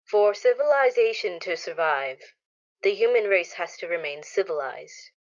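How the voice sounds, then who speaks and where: A woman's voice: fairly low pitch, lively intonation, normal speed, lightly acted. Woman as a solemn radio drama narrator, slightly muffled. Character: solemn narrator of an eerie moral tale. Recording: dull lo-fi audio.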